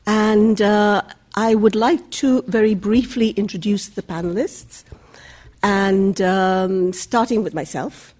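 Breathy woman chairing a public panel. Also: mid-range voice, a little mellow. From Bangladesh. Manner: measured, formal introductions with occasional fillers. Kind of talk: introducing panelists at a public lecture.

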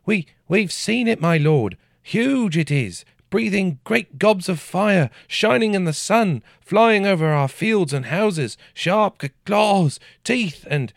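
A man voicing a frightened peasant headman who stammers and quavers, breaking into high panicked shouts. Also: wildly swooping pitch, slow, gravelly, few pauses, no room sound, studio quality, exaggerated voice.

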